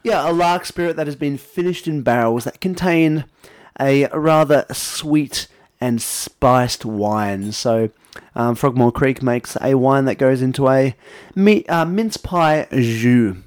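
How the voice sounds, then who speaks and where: Male podcast host, Australian, mid-pitched, loose conversational banter. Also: takes it slowly, no room sound, studio quality. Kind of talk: casual banter.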